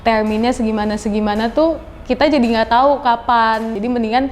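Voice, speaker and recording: A young woman in her twenties speaks frankly and casually as an interviewee. Her voice is a little high. Faint noise sits behind her. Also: steady tone, brisk. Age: 20s. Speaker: freelance stylist and writer interviewee. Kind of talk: casual chat.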